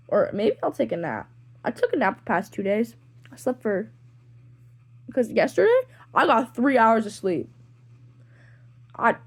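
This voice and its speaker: A woman's voice: medium pitch, a little mellow, husky. A woman with an informal manner, reading her own fan fiction and chatting to listeners as an amateur narrator. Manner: informal, self-deprecating, rambling. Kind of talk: amateur story narration and casual chat to listeners.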